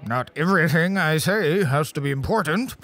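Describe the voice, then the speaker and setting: A man's voice: fairly high pitch, expressive, normal speed, fairly bright tone. A man playing a blustering, self-important, indignant mayor in a comedy radio play. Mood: petulant, self-important. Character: petulant, blustering TV-addicted town mayor.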